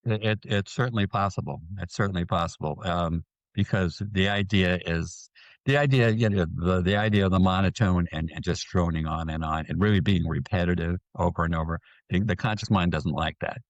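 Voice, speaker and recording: Deep-voiced man explaining calmly, dry sound. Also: no room sound, dull audio. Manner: slow, repetitive, with many restarts and fillers. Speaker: hypnotherapist guest. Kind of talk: explanatory interview talk.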